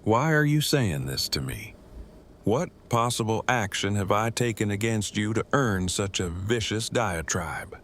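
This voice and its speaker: An anxious, defensive man, played by a male actor in an audio drama. His phrasing is stilted and pedantic, full of elaborate wording. The voice sits at a middling male pitch, with clear noise on the recording. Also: animated, a little slow, fairly bright tone. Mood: wounded.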